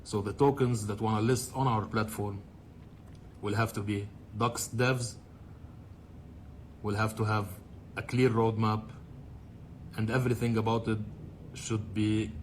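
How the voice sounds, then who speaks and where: A man from Lebanon with a Lebanese accent, giving a prepared, deliberate video statement as a project president. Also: on the low side, steady tone, slight background noise. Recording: played from a video.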